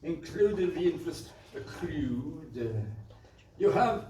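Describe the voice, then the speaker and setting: A man's voice: on the high side, lively intonation, slow, dark tone. A man playing a pompous, scheming village squire in a pantomime, blustering and stammering. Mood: bullying. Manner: stammering, hemming and hawing bluster. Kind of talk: British pantomime stage acting. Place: live stage performance. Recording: distant roomy stage recording with background noise.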